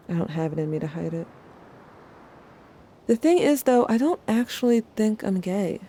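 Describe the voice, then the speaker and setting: Woman as mellow, low-voiced brooding young narrator. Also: a little hiss or hum, natural voice. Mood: conflicted, confiding. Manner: casual, wry, introspective. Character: brooding young narrator, wry, wounded, questioning gender, addressing lost sibling. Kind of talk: audio drama narration, intimate confessional monologue.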